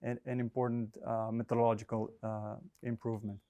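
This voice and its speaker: A man's voice: medium pitch, flat delivery, a little fast, warm tone. A man, a native Hebrew speaker, presenting academic research in a casual, hesitant way with many fillers and dry humor. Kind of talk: academic talk with slides.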